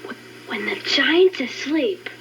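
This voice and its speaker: A woman playing a bright eager young boy. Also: high-pitched, expressive, slow pace, a little hiss or hum, heavily acted. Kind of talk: storybook record acting. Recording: dull lo-fi record with loud music.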